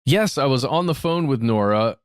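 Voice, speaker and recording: Man co-host with a lively, cackling delivery. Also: mid-range voice, normal speed, clear, resonant tone, dry recording, studio quality. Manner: laughs often, cackles. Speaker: podcast co-host. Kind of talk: casual chat.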